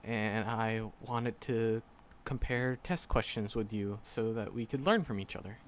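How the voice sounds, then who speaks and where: A man's voice: lowish voice, slight background noise, natural voice. A man playing a shy, flustered magic professor in a roleplay audio drama, stammering and nervous as he talks to a secret crush. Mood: shy, rambling. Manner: nervous, halting, stammering, rambling. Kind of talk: roleplay audio drama, intimate one-sided dialogue.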